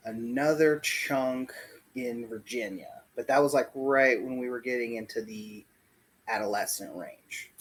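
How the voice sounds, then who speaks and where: Slow, reflective man in his forties. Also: medium pitch, fairly even intonation, fairly bright tone, a little hiss or hum. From Puerto Rico, raised in the US South. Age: 40s. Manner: slow, reflective, pauses often with "um" and "like". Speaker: interview guest. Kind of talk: casual oral history interview. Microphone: video call.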